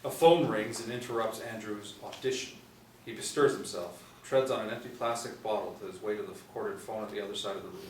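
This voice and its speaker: A man's voice: on the low side, brisk. Middle-aged man narrating in echoey room. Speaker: narrator reading stage directions. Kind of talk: scripted narration at a table read. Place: small indoor room, seated reading from tablet. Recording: echoey room, distant mic, faint noise.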